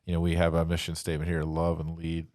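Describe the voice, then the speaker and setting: Very low-voiced man giving earnest devotional talk. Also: completely flat delivery, fast, darkish tone, no room sound, studio quality. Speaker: podcast host, church ministry speaker.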